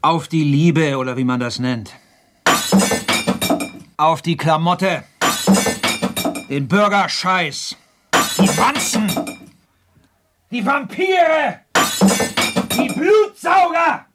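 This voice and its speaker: An actor in a radio play, playing a wry, persistent drinking companion who coaxes and prods in short, dry replies. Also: male voice, sits high, expressive, very slow, long pauses, very noisy, heavily acted. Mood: sardonic toasting.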